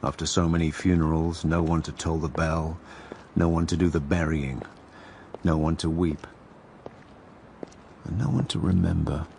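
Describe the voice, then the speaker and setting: An actor playing a troubled, needy writer haunted by plague, morose and reflective, in a radio drama. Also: male voice, a bass voice, steady tone, normal speed, creaky, darkish tone, a little hiss or hum. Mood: bleak, reflective. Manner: morose, self-pitying, reflective. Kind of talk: radio drama dialogue and narration.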